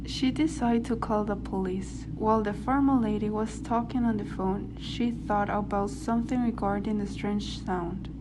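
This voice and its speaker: Female narrator for a radio drama, medium pitch, clearly noisy home recording. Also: steady tone, moderate pace, few pauses.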